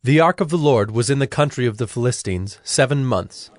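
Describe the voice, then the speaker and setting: A man narrating scripture from a script in a measured, solemn manner. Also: mid-range voice, animated, dry recording.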